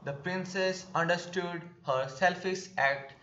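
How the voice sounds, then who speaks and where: Young male narrator, Indian accent, slightly high voice, reading a script over a roomy, dull call. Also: steady tone, slow, pushed and bright. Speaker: narrator of student skit. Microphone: video call.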